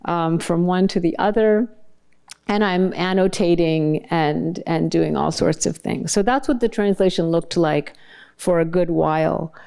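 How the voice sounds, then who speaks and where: A woman whose first language is Bengali, giving an academic lecture with slides and often pausing to search for her words. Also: lowish voice, a little mellow, clear, resonant tone. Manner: thoughtful, searching for words mid-sentence. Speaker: translator giving a keynote talk.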